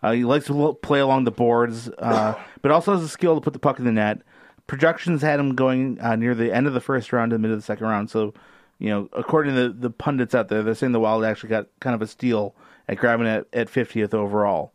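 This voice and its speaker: A man's voice: medium pitch, steady tone, quick pace, dry recording. A man co-hosting a casual sports podcast, thoughtful, with many uh fillers, often posing questions to the others. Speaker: podcast co-host.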